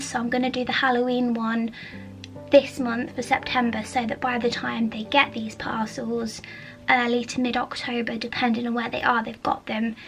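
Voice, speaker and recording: A woman vlogging casually from her studio, croaky from a chest infection, chatty with plenty of ums and soft asides. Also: sits high. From England. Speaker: vlogger, artist. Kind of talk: casual studio vlog chat. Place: art studio indoors.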